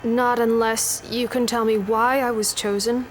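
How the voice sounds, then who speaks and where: A woman's voice: on the high side, little pitch movement, a little slow, slight background noise, close to an everyday voice. Woman acting a wary citizen in echoey room. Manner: tense self-talk, guarded. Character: wary, defiant young female citizen on execution duty. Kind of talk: radio drama acting. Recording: roomy reverberant sound with faint music.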